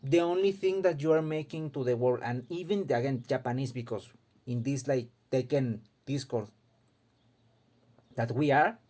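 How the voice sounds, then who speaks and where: A man's voice: mid-range voice, moderate pace, a little mellow. A man from Mexico, giving an impassioned casual opinion monologue and often repeating words as he searches for them. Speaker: fighting game commentator.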